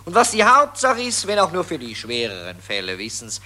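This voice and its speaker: An actor playing a callous, boastful Austrian army officer with a colloquial Viennese accent in a 1940s radio play. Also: male voice, fairly high pitch, huge pitch swings, fairly quick, pushed and bright, heavily acted. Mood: boastful, indignant. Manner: smug, colloquial, anecdotal. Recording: vintage 1940s radio recording.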